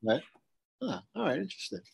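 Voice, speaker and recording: A man's voice: high voice, very animated, brisk, vocal fry, slightly rough. A man hosting a library podcast, asking curious, rambling questions in a casual interview. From Haitian family background.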